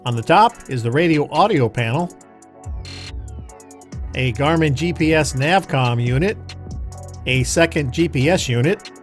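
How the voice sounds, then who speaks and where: A man giving a measured, instructional scripted voice-over as the pilot narrator of a flight video. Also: fairly high pitch, lively intonation. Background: music behind the voice.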